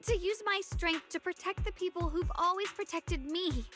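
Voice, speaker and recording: A woman voicing a gentle but determined young swordswoman in an anime game, with a soft, youthful, sincere delivery. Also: very high-pitched, normal speed, music in the background. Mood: tender, sincere.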